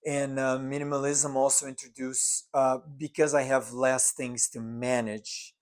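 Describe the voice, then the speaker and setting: Earnest mid-pitched man, podcast co-host. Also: flat delivery, measured pace. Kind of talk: casual chat. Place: apartment living room.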